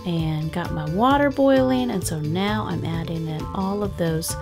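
A woman's voice: lowish voice, unhurried. A middle-aged woman narrating her home cooking and cleaning vlog in a chatty, upbeat voice-over. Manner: chatty and upbeat, with frequent laughs. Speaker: family vlogger. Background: guitar background music.

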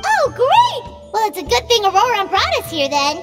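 A tiny cheeky fairy girl from an anime game. She squeaks curiously at an extremely high pitch with wildly swooping intonation. The sound has a long reverb. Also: huge pitch swings, normal speed, exaggerated voice. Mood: eager. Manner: squeaky, third-person cutesy talk. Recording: long reverb, loud music behind.

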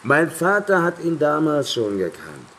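Blunt, animated old-time sea captain. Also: male voice, fairly high pitch, measured pace, slightly rough, heavily acted. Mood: grim, uneasy. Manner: blunt, swearing, dramatic. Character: rough, superstitious male sea captain, cursing, uneasy. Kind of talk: German audio drama acting.

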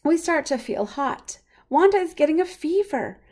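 A woman reading a picture book aloud for young children in a simple, expressive way. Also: high-pitched, moderate pace. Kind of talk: children's storybook read-aloud.

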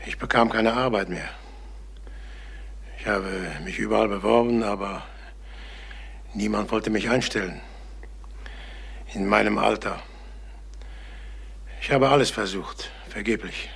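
An actor playing a desperate, middle-aged jobless salesman in a crime radio play, halting and agitated. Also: lowish voice, gravelly, a bit bright. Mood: despairing, anxious. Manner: halting, agitated, repeating himself. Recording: noisy old radio play recording.

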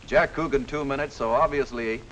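A man hosts a game show from a studio set, brisk and joking as he reads out scores. His voice sits in a middle register. A faint hiss runs under the recording. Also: mid-range voice, a bit bright, low-quality recording. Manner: brisk, jokey, announcing scores. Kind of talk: lively game show hosting.

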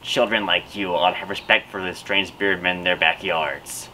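A man's voice: low voice, fairly even intonation, normal speed, pushed and bright, a little hiss or hum, poor audio. A young man voicing a grumpy, petty wizard who lays speech curses, speaking theatrically in a backyard. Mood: pompous. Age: young adult. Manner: theatrical, huffy. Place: backyard outdoors.

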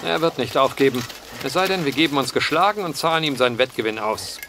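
An actor in a German audio drama playing a teasing, unyielding gambler with a wry, mocking manner. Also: male voice, medium pitch, lively intonation, fast talker, background noise, natural voice.

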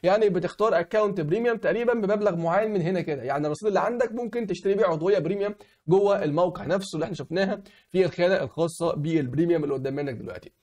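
Fast-talking Egyptian man, hoarse. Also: high voice, darkish tone. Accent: Egyptian Arabic. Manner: fast explaining, keeps asking "okay?". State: hoarse from a cold. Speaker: online tutorial host. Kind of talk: screen-recorded tutorial.

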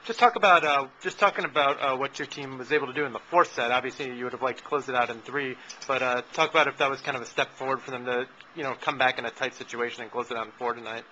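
A man asking a coach postgame interview questions in a quick way, with fillers like uh and kinda. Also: mid-range voice, steady tone, pushed and bright. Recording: dull lo-fi with faint noise.

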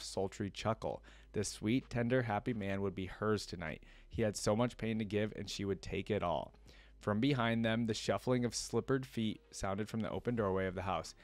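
Low-voiced young man in a dry room. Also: no echo. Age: 20s. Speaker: reaction video host. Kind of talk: casual reaction commentary and reading a story aloud. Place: indoor room at a desk with gaming chair.

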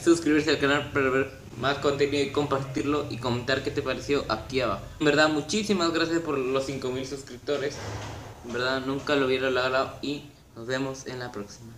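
A teenage male, speaking politely and haltingly, as if on a phone call. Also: medium pitch, steady tone, background noise. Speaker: YouTuber making a prank call. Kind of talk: improvised prank phone call, posing as a prize agent. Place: small room at home, indoors. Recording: roomy echo.

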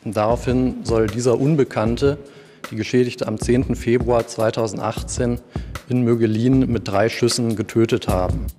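Man in his forties, court spokesman, medium pitch, echoing corridor, music behind. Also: fairly even intonation, normal speed, dark tone. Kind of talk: formal interview statement. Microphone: handheld reporter microphone. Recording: reverberant hallway, music bed.

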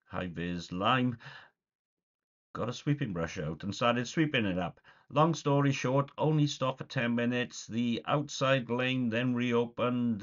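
A man in his forties, a little nasal from a cold, chatting casually through a video diary in a small room, rambling with lots of ums. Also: mid-range voice. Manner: chatty, rambling, filler-heavy. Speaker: vlogger. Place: small dim room indoors. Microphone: webcam at desk. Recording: dull lo-fi webcam audio.

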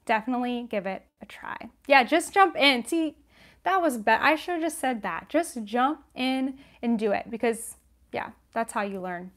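A woman's voice: fairly high pitch, animated, normal speed, fairly bright tone. A young woman hosting a casual livestream Q&A, chatty and encouraging, with a sniffly nose, on a lapel mic in a small room at home. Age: young adult. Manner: chatty, encouraging, many ums and likes. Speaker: knitting channel host.

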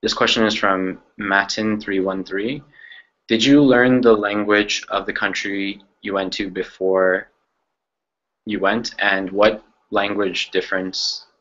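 A young man hosts an online information session from a small room, explaining details with many "um"s and restarts. His voice sits very low and stays level in pitch, with a bright edge. The video call audio sounds a bit dull. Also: steady tone, takes it slowly. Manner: explanatory with frequent fillers and self-corrections. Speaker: program moderator. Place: small room with framed pictures.

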